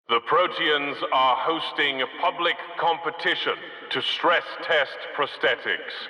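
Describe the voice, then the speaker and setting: A man voicing a formal, dry city loudspeaker announcer in a game, reading public announcements in a bureaucratic, deadpan manner. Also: on the high side, echoey room, big-room sound, heavily acted.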